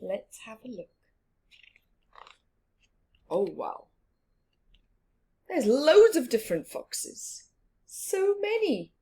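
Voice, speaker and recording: A woman reading a picture book aloud to children with expressive, drawn-out emphasis and asides about the story. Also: sits high. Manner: animated, drawn-out emphasis with whispered words.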